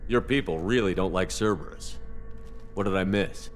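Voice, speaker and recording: A man's voice: low-pitched, moderate pace, faint music behind, lightly acted. A man playing a resolute, protective military commander in a video game, speaking directly. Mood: businesslike. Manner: direct, questioning.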